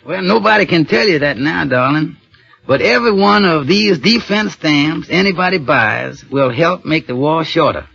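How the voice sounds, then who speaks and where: Gentle folksy dad, high gravelly voice, earnest, dull old 1940s radio recording. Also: male voice, animated, moderate pace, full character voice. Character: patient, gentle, earnest father, working man. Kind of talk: 1940s radio drama acting.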